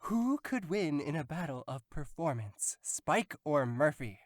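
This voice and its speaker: A woman's voice: deep voice, big pitch swings, measured pace, dry recording, studio quality. A woman bantering casually with friends in a playful, exclamatory way. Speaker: guest castmate.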